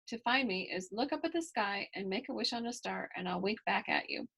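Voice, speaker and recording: A woman voicing a gentle, wise grandfather in a children's storybook, tender and reassuring. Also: mid-range voice, animated, brisk, gravelly, husky, heavily acted.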